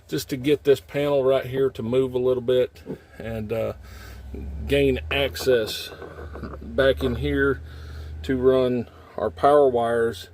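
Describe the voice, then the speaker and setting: A man's voice: mid-range voice, a little mellow. Man giving a folksy hands-on walkthrough. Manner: folksy, informal phrasing. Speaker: how-to video host, trucker. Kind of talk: casual hands-on tutorial, talking while working. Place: in and around a truck cab.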